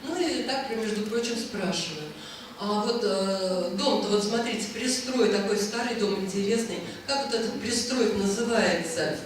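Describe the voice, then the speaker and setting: A woman's voice: medium pitch, normal speed. Chatty woman in an echoey hall. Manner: chatty, rambling, frequent hesitations. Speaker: speaker at a book presentation. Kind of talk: anecdotal storytelling talk. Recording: echoey hall, voice from far off, faint noise.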